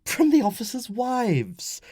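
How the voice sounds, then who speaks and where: A man voicing a young Roman mother in an audiobook, firm, fretful and gently insistent. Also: very high-pitched, wildly swooping pitch, slow pace, no room sound, studio quality, pitched above the performer's own voice, full character voice. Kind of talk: audiobook character dialogue.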